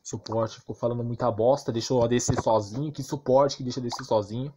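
A man's voice: mid-range voice, fairly even intonation, quick pace, warm tone, muffled recording, a little hiss or hum. A man with a Brazilian accent giving a casual, ranting game commentary, slangy and crude, emphatic and repetitive with rhetorical questions. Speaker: gaming YouTuber.

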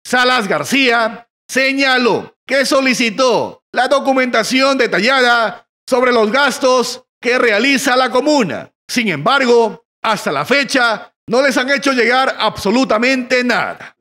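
A man's voice: very high voice, animated, unhurried, bright, forceful tone. A man reading a scripted news voice-over as a reporter, in an emphatic announcer style.

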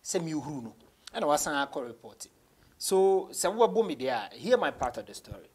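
A man's voice: fairly high pitch, sing-song, moderate pace, a little mellow, slightly rough. Ghanaian guy in his 30s chatting in a studio interview, mixes twi into his english, telling old stories. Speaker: interview guest, rapper. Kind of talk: casual storytelling in English mixed with Twi. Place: small indoor studio.

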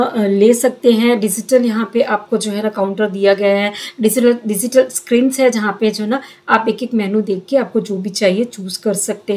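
A woman's voice: a little high, a little mellow. A woman in her forties vlogging on a phone held at arm's length, chatting casually and mixing in English phrases with lots of fillers. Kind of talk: casual vlog narration. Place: car and busy restaurant. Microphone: phone camera at arm's length.

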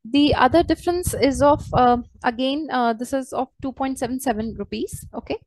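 A woman walking through a software tutorial step by step as a trainer, in a hesitant, halting way. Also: high voice, dry recording, poor audio. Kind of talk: screen-share software tutorial, unscripted walkthrough.

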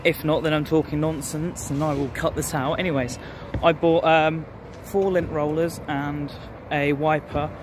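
A man's voice: a little high, little pitch movement, a little slow, noisy background. A man in his twenties with an English accent, chatting casually and informally to camera as a vlogger, recorded on a phone held at arm's length. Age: 20s. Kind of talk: casual vlog chat to camera.

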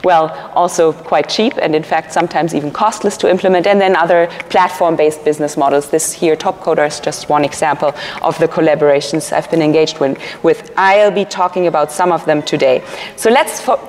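A woman's voice: deep voice, brisk, a little mellow, a little hiss or hum. Woman giving a keynote in a reverberant hall. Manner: earnest, explanatory, with fillers and restarts. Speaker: keynote speaker, researcher. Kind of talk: conference keynote lecture. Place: large conference hall, at a podium. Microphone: podium mic, filmed from a far camera.

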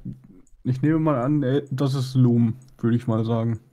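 A man's voice: medium pitch, fairly even intonation, moderate pace, mellow. A man chatting casually as co-streamer during a video game. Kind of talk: casual let's-play chat.

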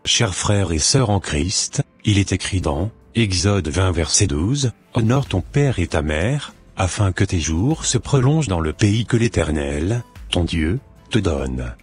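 Deep, husky man giving devotional exhortation. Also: lively intonation, a little slow, soft background music. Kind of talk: devotional exhortation, scripture reading.

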